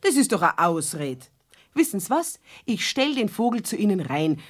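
A woman's voice: medium pitch, sing-song, moderate pace. Bavarian neighbor lady, nosy n chatty, pushy but nice, voice goes up and down, kids radio drama. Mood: insistent. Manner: chatty, insistent. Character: chatty, persistent neighbour woman, good-natured and nosy. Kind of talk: children's radio play acting.

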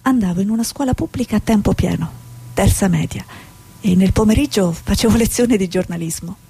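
A stubborn, outspoken war reporter from a stage drama, acted by a woman. The voice is mid-pitched, soft-edged and dark, with a husky grain. The delivery is earnest and insistent. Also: fairly quick. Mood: questioning, insistent.

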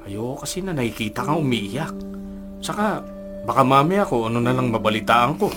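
A man's voice: mid-range voice, animated, fairly quick, music in the background, lightly acted. An actor playing a worried, struggling middle-aged father in a Filipino radio drama, pressing with concern.

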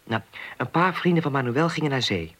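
A man's voice: mid-range voice, expressive, brisk, noisy background, close to an everyday voice. An actor playing an earnest, naive, lonely man in a naturalistic radio play, talking in a hesitant, rambling, plain-spoken way. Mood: earnest, wistful. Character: earnest, naive, lonely man worried about a missing woman.

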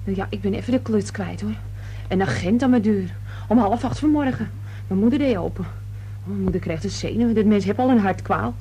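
A woman's voice: medium pitch, fairly quick, warm tone, a little hiss or hum, natural voice. An actress playing a gabby, indignant young working-class cleaning girl in a radio play, talking colloquially. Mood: flustered.

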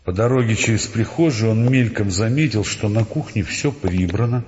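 A man narrating a literary audio play in an expressive, scripted storytelling style, recorded with reverb and music underneath. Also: fairly low pitch, normal speed, lo-fi sound, noisy background.

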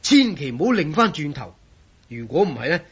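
A man acting a proud, excitable engineer and grumbling companion in a radio drama, with a Hong Kong Cantonese accent. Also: on the high side, brisk, slightly rough, heavily acted. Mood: careful, warning.

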